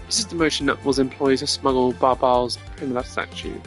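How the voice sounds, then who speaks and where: A composed video game prosecutor acted out by a man lays out his case formally and deliberately. His voice is mid-pitched, with music playing underneath. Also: steady tone, fairly bright tone, close to an everyday voice. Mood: confident. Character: composed, cerebral male prosecutor arguing a case.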